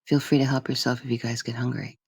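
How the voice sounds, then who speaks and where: A woman voicing a gentle, easygoing man in a soft, kindly way, as an audiobook character. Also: a bass voice, flat delivery, fast talker, slightly rough, dry recording, voice pushed down, full character voice. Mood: gentle, welcoming.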